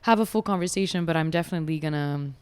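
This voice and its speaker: A woman in her twenties, raised in France and Dubai, guest on a chat podcast, talking reflectively and often pausing to search for words. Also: deep voice, smooth, in a large hall. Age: 20s. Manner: reflective, searching, many fillers.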